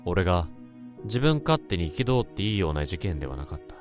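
A man's voice: low-pitched, sing-song, a little fast. A man playing a brooding office worker who narrates his story in a somber, introspective radio drama. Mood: melancholy. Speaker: narrator and lead. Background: music.

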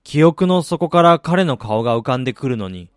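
A man acting in a radio drama as a driven businessman and husband, telling his life story in a measured, reflective way. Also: medium pitch, expressive, dry recording, studio quality, natural voice. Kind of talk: radio drama monologue, literary narration. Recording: music bed behind the voice.

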